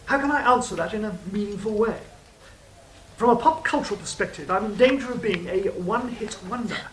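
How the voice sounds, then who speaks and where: A woman's voice: mid-range voice, a little fast, a little hiss or hum, natural voice, poor audio. A woman playing a proud, sardonic trickster angel in an audio drama, dry and evasive. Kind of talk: stage-style audio drama acting.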